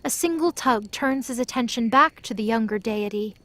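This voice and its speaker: A woman's voice: fairly high pitch, normal speed, sharp tone. A woman reading scripted present-tense narration for an audio drama.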